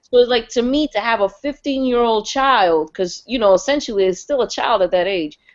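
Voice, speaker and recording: A woman's voice: a little high, moderate pace. A woman taking part in an online open mic, talking casually and with emphasis. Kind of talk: casual, emphatic livestream chat. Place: indoors on an online group call. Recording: dull lo-fi online call audio.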